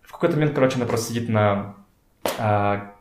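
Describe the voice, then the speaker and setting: A man talking casually as a podcast guest, telling stories in a halting way as he searches for words. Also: fairly low pitch. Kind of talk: casual conversational storytelling. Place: indoors in a home. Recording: echoey room.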